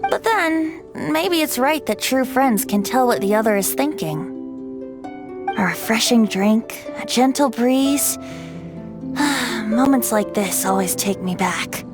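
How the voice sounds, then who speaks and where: A youthful, boyish bard, a wind spirit in human form. The delivery is lilting and theatrical, playful with a wistful edge, as in an anime game. Music plays clearly underneath. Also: female voice, a little high, animated, slow.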